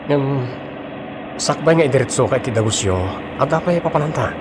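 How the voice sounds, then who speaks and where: An actor with a Filipino Ilocano accent playing a polite, determined suitor of twenty-three in a radio drama, with a husky voice. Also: male voice, medium pitch, fairly quick, noisy background, lightly acted. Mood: earnest, reassuring. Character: earnest 23-year-old suitor, polite and determined.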